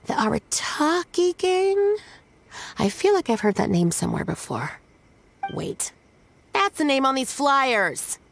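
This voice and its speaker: A woman playing an earnest, worrying young shrine maiden in a video game, with an expressive, questioning manner. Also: high-pitched, a little slow, gravelly, exaggerated voice. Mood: puzzled, realising. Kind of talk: English video game voice acting.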